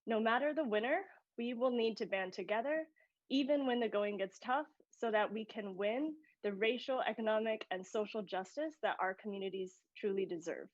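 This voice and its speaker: A woman's voice: a little high, normal speed, no room sound. Upbeat woman host with muffled sound. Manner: upbeat, scripted delivery. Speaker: live stream co-host. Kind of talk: scripted hosting. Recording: dry, muffled audio.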